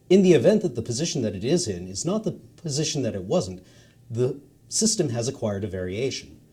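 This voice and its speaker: A man playing a dry, matter-of-fact narrator of a technical instructional recording, speaking formally and in measured phrases. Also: medium pitch, dark tone, close to an everyday voice. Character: dry instructional narrator explaining missile guidance.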